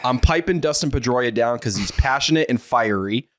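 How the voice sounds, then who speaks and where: A man's voice: medium pitch, animated, normal speed, no echo, clean studio sound. A man co-hosting a sports podcast, explaining himself in a casual, earnest, rambling way. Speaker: podcast co-host.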